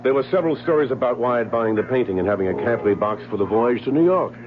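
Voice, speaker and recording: Old-time radio: hearty, inquisitive gentleman played by a man, lively melodic delivery, tinny vintage sound. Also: mid-range voice, fast talker, noisy background, lightly acted. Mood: eager, jovial. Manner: hearty, eager, stammering when flustered. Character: genial, curious, talkative gentleman husband and old friend. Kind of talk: vintage radio drama acting. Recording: tinny vintage radio recording, music behind.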